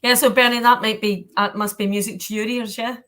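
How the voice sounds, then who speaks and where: A woman's voice: on the high side, fairly even intonation, a little fast, a bit bright. Chatty woman hosting a panel. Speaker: panel host. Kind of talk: informal panel discussion.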